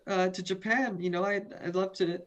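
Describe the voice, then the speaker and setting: A man's voice: sits high, fairly even intonation, brisk, a bit bright, muffled recording. A young man from the western United States, a student guest in a video interview, earnest and often repeating and restarting words. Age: young adult.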